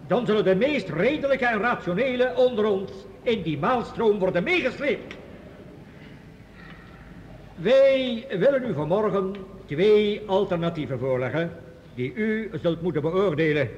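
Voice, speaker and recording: An actor playing a grave, authoritative chairman who addresses an assembly in measured, formal speech in a vintage radio drama. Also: high-pitched, muffled recording. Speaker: chairman of a world council. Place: large reverberant assembly hall sound. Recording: old radio recording, reverberant hall, hiss.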